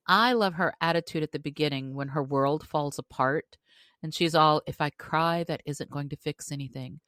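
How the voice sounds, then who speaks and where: Low-pitched woman chatting on a book podcast, dry close sound. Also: normal speed, a bit bright, no room sound. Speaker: podcast co-host. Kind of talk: casual book discussion.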